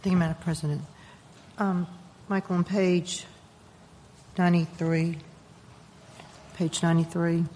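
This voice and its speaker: A woman's voice: lowish voice, flat delivery, a little slow, pauses often, warm tone, long reverb tail, slight background noise. Direct woman questioning an official. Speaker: council member. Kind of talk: questioning at a public meeting.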